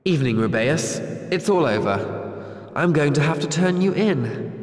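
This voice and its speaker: A man's voice: fairly high pitch, lively intonation, moderate pace, natural voice. Casting: male narrator as cold, controlled teenage prefect type, stern and formal, heavy echo, video game. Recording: very echoey, long reverb.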